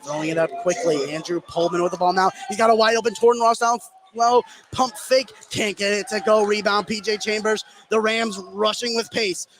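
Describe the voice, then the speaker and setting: An American man doing live radio basketball play-by-play, getting excited on big plays. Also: high-pitched, brisk, few pauses, a little hiss or hum. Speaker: play-by-play announcer.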